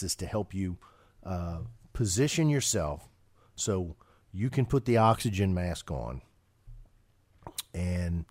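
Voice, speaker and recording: A man's voice: low voice, darkish tone, dry recording. A man hosting a financial radio show, talking casually and often restarting his sentences with repeated words.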